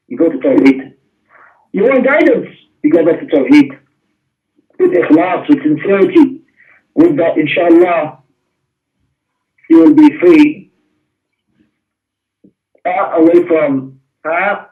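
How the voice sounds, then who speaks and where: A man teaching an Islamic lesson in an emphatic, exhortatory manner, mixing explanation with recitation, on a muffled recording. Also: high voice. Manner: emphatic, exhortatory, with rhetorical questions. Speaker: religious teacher. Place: mosque class.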